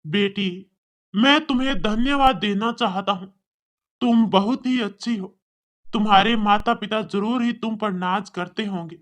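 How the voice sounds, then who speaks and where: A woman voicing a weak middle-aged man, a hospital patient and remorseful father, speaking haltingly in a cartoon story. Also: medium pitch, pitched below the performer's own voice, natural voice. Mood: grateful, gentle. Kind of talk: cartoon moral story dialogue.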